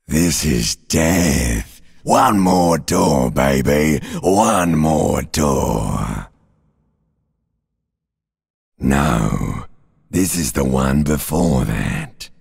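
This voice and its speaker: Abyssal, gravel-throated primal brain. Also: male voice, very deep voice, animated, darkish tone, exaggerated voice. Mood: ominous, coaxing. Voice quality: gravelly, very raspy, guttural. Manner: slow, drawn-out, menacing, mocking. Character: ancient reptilian brain, primal, nihilistic, taunting inner voice. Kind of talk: theatrical video game voice acting.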